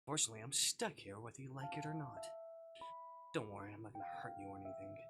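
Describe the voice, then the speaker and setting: A man's voice: fairly low pitch, fast talker, music in the background, close to an everyday voice. A man voicing a mysterious male dream visitor from a visual novel, aloof, teasing and easily annoyed, with a dry, curt delivery. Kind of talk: visual novel character reading.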